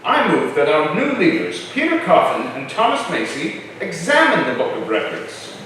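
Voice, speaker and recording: A man's voice: a little high, huge pitch swings, normal speed, sharp tone, a little hiss or hum, full character voice. An actor playing a scheming, accusatory colonial councilman in a stage drama, with pompous, oratorical delivery. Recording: very echoey hall, distant mic.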